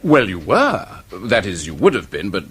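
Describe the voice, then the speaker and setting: This is an actor as a breezy public-school type in a period office drama. His voice is a little husky and very animated, with a condescending, superior air. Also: sits low, normal speed, full character voice. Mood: awkward, placating. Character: clubbable British male copywriter, public-school type, tactless and superior. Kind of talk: British period radio drama acting.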